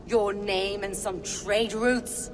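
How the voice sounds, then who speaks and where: A woman's voice: mid-range voice, fairly even intonation, slow pace, close to an everyday voice. An actress playing a fierce pagan witch and seer in a video game, solemn and defiant, with an incantatory delivery. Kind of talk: dramatic video game acting. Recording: long reverb, noisy ambience behind.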